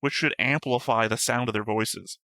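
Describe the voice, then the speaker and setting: A man's voice: medium pitch, steady tone, normal speed, bright, forceful tone, no echo, studio quality. A man co-hosting an anime reaction show, talking through his notes in casual, winding explanations and poking fun at himself. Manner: chatty, self-deprecating, long winding explanations. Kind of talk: casual discussion of an anime episode.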